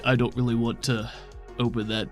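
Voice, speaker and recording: A man's voice: mid-range voice, flat delivery, moderate pace, soft background music, natural voice. A man playing a mild, stressed father in his fifties in a tabletop game, hesitant and self-effacing. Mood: nervous, affectionate.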